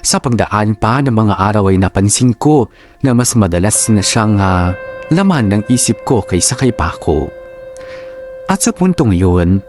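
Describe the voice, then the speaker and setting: A man with a Filipino accent narrating a first-person love story as the host of a radio drama show. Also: on the low side. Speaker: host reading a listener's letter.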